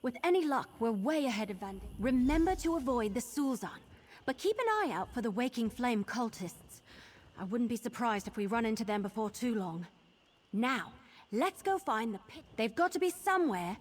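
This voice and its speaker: An actress playing an eager, earnest young wood elf adventurer in a video game, talking in a brisk conversational way. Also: female voice, on the high side, sing-song. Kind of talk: video game character acting.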